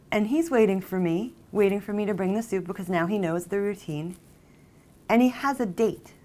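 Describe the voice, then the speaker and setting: An actress plays a jilted, obsessive woman in a dramatic monologue. Her medium-pitched voice sounds natural and conversational. She tells her story haltingly, with an unstable, emotionally volatile edge. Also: close to an everyday voice. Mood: tense recounting. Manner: halting, emotionally volatile, mimics others' exclamations. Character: jilted, obsessive woman confessing a violent jealous outburst.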